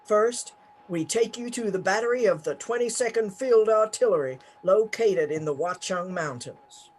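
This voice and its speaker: High-pitched radio news announcer played by a man. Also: moderate pace, low-quality recording. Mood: hurried. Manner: formal, telegraphic reading. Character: urgent, formal radio news announcer reading bulletins. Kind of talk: 1930s radio news acting.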